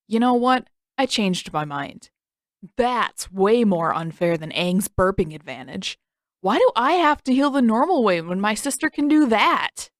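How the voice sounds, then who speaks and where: A woman's voice: medium pitch, sing-song, a little slow, no echo, clean studio sound. A woman voicing a cocky, competitive teenage boy in a storybook, boastful and griping.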